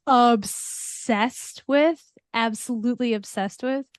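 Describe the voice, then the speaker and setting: A woman's voice: fairly high pitch, slow pace, smooth, no room sound. A woman in her forties, a guest on a film podcast, talking frankly and enthusiastically, with casual swearing.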